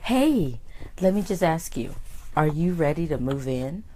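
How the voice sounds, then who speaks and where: A woman with a hoarse voice hosting a live motivational talk, greeting viewers by name and exclaiming with excitement. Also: sits low, unhurried, a little hiss or hum. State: hoarse, deeper voice than usual. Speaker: host and life coach.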